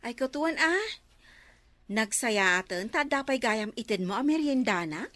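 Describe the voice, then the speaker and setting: A woman playing an excitable, outspoken neighbour in an Ilocano radio drama, full of exclamations. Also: a little high, unhurried, no room sound. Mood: chatty. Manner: exclamatory, animated.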